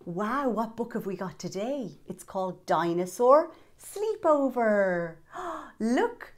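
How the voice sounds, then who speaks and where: A woman in her thirties presents children's storytime in an animated, exaggerated, sing-song way aimed at young children. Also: fairly high pitch, slow pace. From Ireland. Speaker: children's storytime host and entertainer. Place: small indoor set with fabric backdrop.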